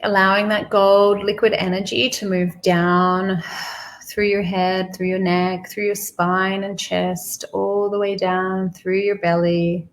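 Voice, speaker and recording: A woman guiding a meditation slowly and soothingly, repeating phrases with pauses, in a creaky, gravelly voice. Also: mid-range voice, level tone, slight background noise. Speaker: guided meditation leader. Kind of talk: guided money meditation, spoken softly to the listener.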